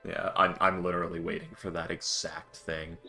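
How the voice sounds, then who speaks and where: A man's voice: low-pitched, flat delivery, moderate pace, bright, forceful tone. A man chatting and ranting profanely between turns of a streamed tabletop game, with faint music behind. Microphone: streaming mic.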